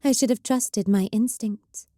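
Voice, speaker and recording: A woman's voice: on the high side, a little slow, dark tone, dry recording, clean studio sound, pitched above the performer's own voice, close to an everyday voice. A woman voicing a battered, stubborn woman navigator in chronic pain, speaking through gritted teeth with acid, commanding force.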